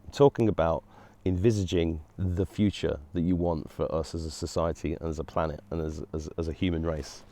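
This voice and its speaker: A man's voice: extremely low pitch, fairly even intonation, fairly quick, few pauses, dark tone, no room sound. A middle-aged man hosting his own show, talking earnestly and rambling, with many restarts. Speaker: host. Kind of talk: solo monologue to camera.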